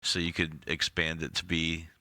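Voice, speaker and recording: A man's voice: rumbling low, monotone, moderate pace, sharp tone, no echo. A man co-hosting a podcast, tired, making dry, offbeat jokes. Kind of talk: casual chat.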